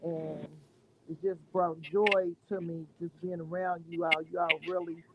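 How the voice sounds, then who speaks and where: A woman's voice: fairly low pitch, flat delivery, a little slow, highs cut off, very noisy. A woman from Ohio, a stage-play cast member, speaking earnestly and calling others honey.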